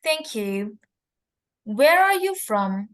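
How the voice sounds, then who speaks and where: Slow, high-voiced woman examiner. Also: big pitch swings. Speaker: exam interlocutor. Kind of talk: mock speaking test.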